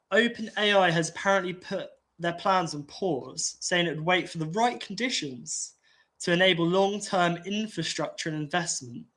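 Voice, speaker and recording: A man, nasal and congested, talking in a slow, halting way with many restarts and fillers. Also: sits high, fairly bright tone. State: congested from an infection. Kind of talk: casual rambling talk.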